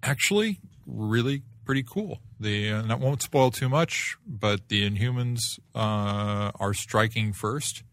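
A man's voice: on the low side, measured pace, few pauses, fairly bright tone, no echo. A man co-hosting a podcast, giving his casual take on comic books. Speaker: podcast co-host. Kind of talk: casual comic book review chat.

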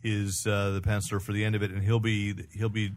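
A man's voice: fairly low pitch, monotone, fast. A man co-hosting a podcast, chatting casually about comic books. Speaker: podcast host.

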